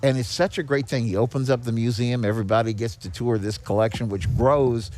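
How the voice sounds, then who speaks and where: A man's voice: medium pitch, steady tone, brisk, a little mellow. A man speaking as a guest in a casual interview, earnest and appreciative in manner. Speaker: podcast guest, charity executive director. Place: on location at a garage. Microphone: podcast microphone with headphones.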